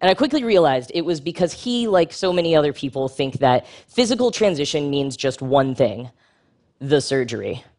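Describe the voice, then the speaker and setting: A man giving a prepared public talk with a wry, frank, humorous delivery and lively jumps in pitch for emphasis. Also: moderate pace.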